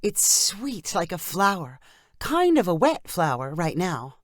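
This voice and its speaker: A woman narrator acts out a young bride from a storybook, eager and stubborn about her dream honeymoon. Her pitch swings expressively and the sound is dry. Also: big pitch swings, unhurried, no room sound. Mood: delighted. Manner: insistent, eager.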